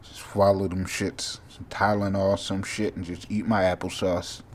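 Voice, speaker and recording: A sleepy young man with a monotone, mumbly voice, hosting a rambling, profane solo podcast. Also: low voice, unhurried, slight background noise. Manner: casual, profane, drifting from thought to thought. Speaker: podcast host.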